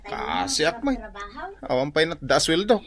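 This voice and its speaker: A man's voice: fairly high pitch, huge pitch swings, moderate pace, a bit bright, heavily acted. A man with an Ilocano accent playing a broke, shameless young show-off who wheedles for money in a comic radio drama. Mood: sheepish.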